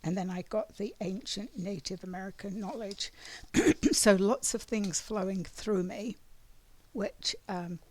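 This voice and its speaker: A raspy-voiced woman giving a spiritual monthly oracle card reading, meandering and often clearing her throat. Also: mid-range voice, unhurried, a little mellow.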